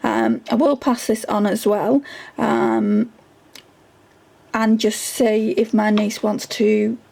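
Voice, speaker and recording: Woman, beauty vlogger, gravelly and fading hoarse, chatty with lots of ums. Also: fairly high pitch, flat delivery, slow, warm tone. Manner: chatty, frequent ums, repeats words for emphasis. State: voice going hoarse. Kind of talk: casual product review.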